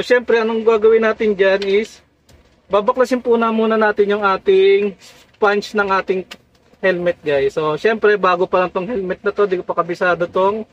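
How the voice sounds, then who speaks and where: A man with a Filipino accent narrating a casual hands-on how-to as a tutorial vlogger, often addressing his viewers directly. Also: sits high. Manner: repeatedly addresses viewers as guys. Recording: dull lo-fi audio.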